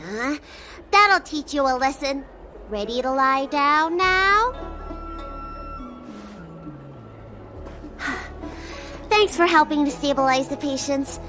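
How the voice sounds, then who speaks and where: A woman's voice: sits high, animated, a little slow, sharp tone, faint music behind, heavily acted. A woman voicing a small, childlike female doctor in a video game, precocious, self-assured and easily exasperated. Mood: exasperated, brisk.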